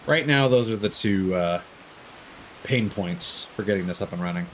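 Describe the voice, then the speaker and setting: A man giving casual livestream commentary on a video game, rambling and thinking out loud as he plays. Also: lowish voice, moderate pace, background noise. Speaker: live streamer playing a video game.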